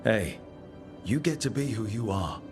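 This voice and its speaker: An actor playing a supportive, reassuring leader of an adventuring team in a video game. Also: male voice, on the low side, lively intonation, measured pace, a little mellow. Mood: gentle, supportive. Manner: reassuring, encouraging. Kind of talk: video game cutscene acting. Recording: music behind the voice.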